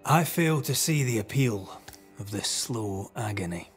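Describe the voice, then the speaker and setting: Firm, resolute ghost hunter. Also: male voice, fairly low pitch, animated, a little slow, faint music behind. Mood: wry defiance. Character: resolute, principled male ghost hunter, challenging and earnest. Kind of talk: dramatic video game acting.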